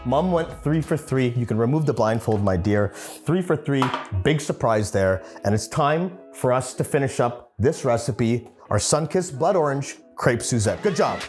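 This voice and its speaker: A man's voice: medium pitch, normal speed, faint music behind. Upbeat man hosting a cooking show. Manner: lively, playful, instructive. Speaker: chef and host.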